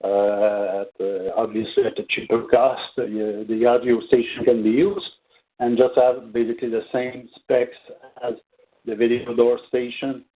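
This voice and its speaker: Halting male presenter on a phone-like line. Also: mid-range voice, little pitch movement. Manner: halting, hesitant delivery with very frequent filled pauses. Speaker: product trainer presenting for a company. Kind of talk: unscripted webinar product presentation. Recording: narrow, tinny phone-like line.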